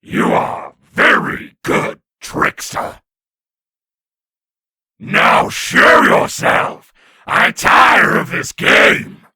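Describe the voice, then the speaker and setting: A man voicing a fierce, impatient warrior in a comic dub, hoarse and menacing, drawing out shouted challenges. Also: drawn-out words, long pauses, no echo, studio quality, full character voice. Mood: angry, menacing. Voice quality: harsh, hoarse rasp.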